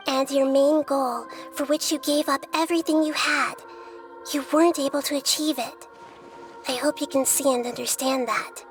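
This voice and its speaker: A woman voicing a gentle, wise, childlike young goddess, speaking in a measured, earnest way in a video game. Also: sits high, fairly even intonation, soft background music, lightly acted. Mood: solemn.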